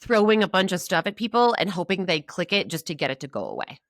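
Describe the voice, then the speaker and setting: A woman's voice: lowish voice, very animated, fast talker, dry recording, studio quality. A woman speaking as a podcast guest, explaining her opinions in an informal, conversational way. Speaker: podcast guest, marketing agency executive.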